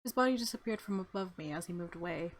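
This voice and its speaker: A woman's voice: on the low side, expressive, quick pace. A woman narrating a visual novel aloud in the first person, expressively, as a let's player.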